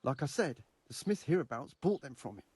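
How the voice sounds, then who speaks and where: A man's voice: medium pitch, lively intonation, fairly quick, darkish tone, husky. An actor playing an eager, devout, grasping medieval craftsman in a video game, talking emphatically and persuasively. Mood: indignant, insistent. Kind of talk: video game dialogue acting.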